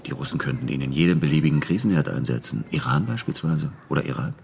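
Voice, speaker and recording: An actor playing a cynical, flippant American war veteran in a German radio crime drama, speaking in a laconic, matter-of-fact way. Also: male voice, extremely low pitch, expressive, fast talker, vocal fry, a little hiss or hum, heavily acted. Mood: matter-of-fact, persuasive.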